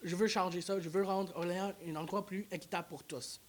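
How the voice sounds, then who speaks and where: A man's voice: high voice, steady tone, fairly quick. Man, election candidate in a studio debate, earnest and insistent. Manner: earnest, repetitive rallying lines. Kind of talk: campaign pitch in a debate.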